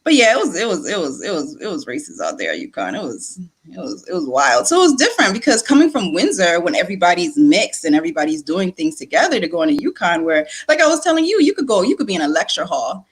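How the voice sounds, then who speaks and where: A woman in her late thirties, born in Nigeria and raised in Connecticut, telling her story as a guest in a casual interview, lively and repeating words as she goes. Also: lowish voice, fast, slightly rough. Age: late 30s.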